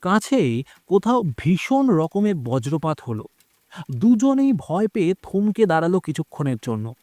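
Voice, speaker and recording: Higher-voiced man narrating a dramatic story. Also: very animated, a little slow, warm tone, no room sound. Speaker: narrator of an audio horror story.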